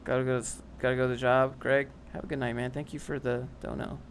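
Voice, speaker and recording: A man's voice: mid-range voice, level tone, fast, slight background noise. A young man casually reading and answering chat on a livestream, dry and sarcastic, often rambling.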